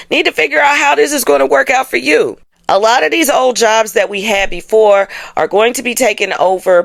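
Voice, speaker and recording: A woman's voice: mid-range voice, gravelly, fairly bright tone, dry recording. An American woman hosting an astrology monologue, talking to viewers in a colloquial, emphatic, conversational way with frequent check-in tags. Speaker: astrology host. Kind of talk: casual explanatory monologue to viewers.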